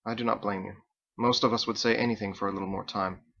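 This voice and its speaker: A man voicing a stern, coldly logical female executioner in a formal, measured, clipped way for a story. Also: on the low side, fairly even intonation, vocal fry, bright, forceful tone, lo-fi sound, exaggerated voice. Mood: cold, composed.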